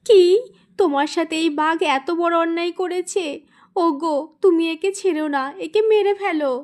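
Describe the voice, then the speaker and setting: Lady doing a very high voiced mama fox, poor and plaintive, cartoon story. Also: normal speed, darkish tone. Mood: outraged. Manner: plaintive, emotional. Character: poor, pleading mother vixen.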